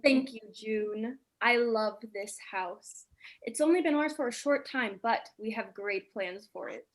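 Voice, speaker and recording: A woman's voice: fairly high pitch, unhurried, close to an everyday voice. A woman playing a polite, reserved married mother newly arrived in the neighborhood, in a staged play reading. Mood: courteous, composed. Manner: courteous, composed.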